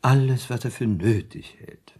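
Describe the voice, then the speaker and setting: An actor playing an eager storyteller in a German radio play, spinning out a crime plot with theatrical suspense. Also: male voice, medium pitch, a little slow, darkish tone, husky. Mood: relishing, scheming. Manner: theatrical, suspenseful, acts out lines.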